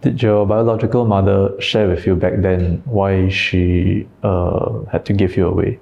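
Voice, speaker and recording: A man's voice: sits low, steady tone, a little slow, creaky, a little mellow, highs cut off, a little hiss or hum. A man asking questions as a reporter in an interview.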